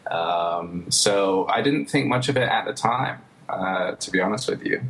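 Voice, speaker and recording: Relaxed low-voiced man, hesitant. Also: measured pace, low-quality recording. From United States. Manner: relaxed, hesitant, laughs easily. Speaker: interview guest. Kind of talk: casual interview talk.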